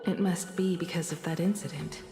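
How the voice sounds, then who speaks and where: A woman playing a composed, tender grown daughter in a video game, measured and reflective in her delivery. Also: on the low side, fairly even intonation, dark tone, natural voice. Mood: warm, reflective. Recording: roomy echo, music behind. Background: music.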